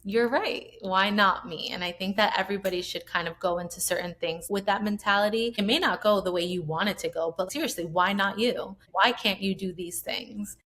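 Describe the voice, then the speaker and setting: A woman hosting a podcast interview in a warm, affirming, reflective way. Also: medium pitch, fairly quick, few pauses. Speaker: podcast host. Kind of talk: conversational interview.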